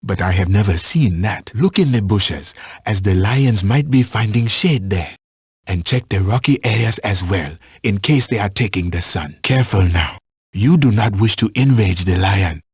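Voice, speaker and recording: A watchful safari tracker guiding a hunt in a video game. His voice is very husky and fairly low, recorded dry and close. He instructs in formal, measured wording with an animated rise and fall. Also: no echo, exaggerated voice. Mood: calm, watchful. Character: male African safari tracker, watchful, instructive hunting guide. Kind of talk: scripted video game voice lines.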